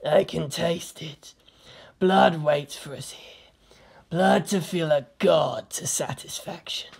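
A bloodthirsty Chaos Marine from a sci-fi audio story, acted out by a woman. He is melodramatic and menacing, drawing his words out slowly as he rants. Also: sits low, creaky, darkish tone, slightly rough, exaggerated voice. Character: hissing bloodthirsty male Chaos Marine figurine, ranting.

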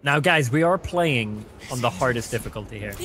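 A young man hosting a co-op game stream, giving excited calls to his teammates and chatting casually. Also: mid-range voice, normal speed, a crowd in the background. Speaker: streamer and host.

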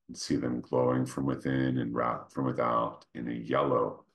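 A man leading a guided meditation, speaking gently and without hurry, in short phrases with pauses between them. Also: level tone. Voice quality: very deep, creaky. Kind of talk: guided meditation, slow soothing instruction.

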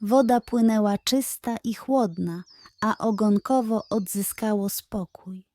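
A woman's voice: medium pitch, steady tone, slow pace, warm tone. A woman narrating a scripted bedtime story for children as a storyteller.

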